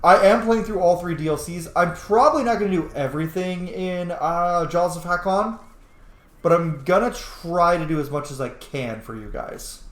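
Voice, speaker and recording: A man giving casual, chatty let's play commentary as a gaming host, swearing freely and getting excitable. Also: high-pitched, moderate pace, reverberant.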